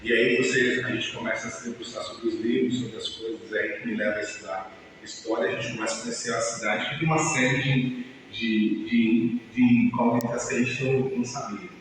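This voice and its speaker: A man's voice: mid-range voice, steady tone, fairly quick. A man from São Paulo, speaking earnestly as an activist interviewee, tagging sentences with "né" and restarting phrases. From São Paulo, Brazil. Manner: earnest, with frequent "né" tags and restarts. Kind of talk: reflective personal interview. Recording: echoey, long-reverb room, mic far off, faint hiss.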